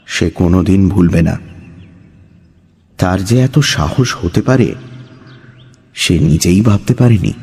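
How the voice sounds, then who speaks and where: A man's voice: sits low, vocal fry, a little mellow, husky. A man giving a dramatic, scripted narration of a horror story. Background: background music.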